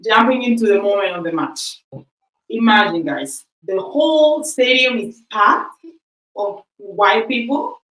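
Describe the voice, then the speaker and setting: A woman's voice: mid-range voice, expressive, unhurried, fairly bright tone, echoey room, far from the mic, poor audio. A woman whose first language is Spanish, telling a story on a podcast in a chatty way, acting out the quoted exchanges. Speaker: podcast host.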